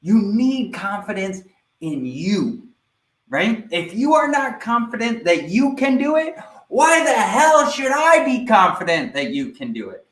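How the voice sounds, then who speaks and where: A man giving a live online sales training, energetic and speaking directly to viewers, often checking in with "right?". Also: sits high, roomy sound, rough recording.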